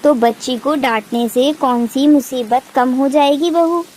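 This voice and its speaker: A woman voicing a caring elderly mother-in-law bird in a moral-story cartoon, expressive and gently chiding. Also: high voice, a little slow. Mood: concerned, chiding.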